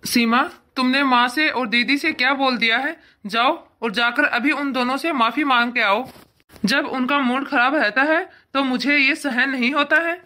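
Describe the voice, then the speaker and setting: A woman's voice: sits high, steady tone, normal speed, bright, forceful tone. A woman voicing a domineering, scornful young husband, bossy and condescending, in cartoon moral-story acting. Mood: scolding.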